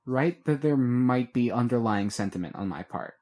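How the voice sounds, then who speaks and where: A guarded, weary young man, read by a man in an audiobook, laying out dangers in a slow, measured way. Patient but worn down, he talks like someone carrying too much. Plain everyday voice. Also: mid-range voice, lively intonation, darkish tone, close to an everyday voice. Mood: earnest.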